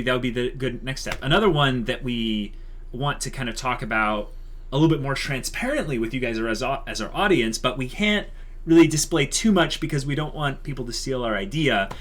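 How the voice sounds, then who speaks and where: A man's voice: medium pitch, fairly bright tone. A young man co-hosting a channel announcement, speaking casually and conversationally with plenty of likes and you-knows. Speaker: channel co-host.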